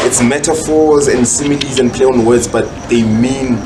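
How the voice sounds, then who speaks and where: A man's voice: medium pitch, steady tone, normal speed. A South African man talking casually and explaining himself in slang as an interview guest, a rapper and songwriter. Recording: noisy ambience with birdsong.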